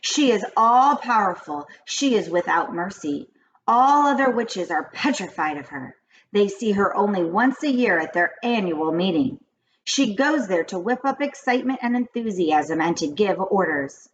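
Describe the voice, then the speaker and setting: A woman voicing an old grandmother in a children's storybook, confiding grave witch lore in a deliberate, dramatic way. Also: mid-range voice, animated, dull audio, lightly acted. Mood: ominous. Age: older. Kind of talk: children's storybook read-aloud.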